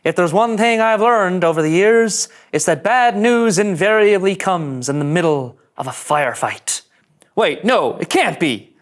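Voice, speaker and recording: Nervous young ensign, high voice, very animated, earnest and overdramatic, cartoon sci-fi. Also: male voice, a little slow. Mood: alarmed. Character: anxious, earnest, by-the-book young male starship ensign. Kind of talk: animated sci-fi comedy table read.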